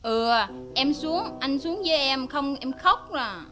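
A meek, fearful young mistress pleading in a high, slow, whimpering voice. Faint music plays underneath. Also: female voice, lively intonation.

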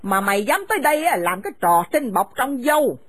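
An actress playing a furious, domineering mother-in-law who shouts insults at her son's wife. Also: on the high side, sing-song, very slow, husky, exaggerated voice. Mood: scolding. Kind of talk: radio drama acting.

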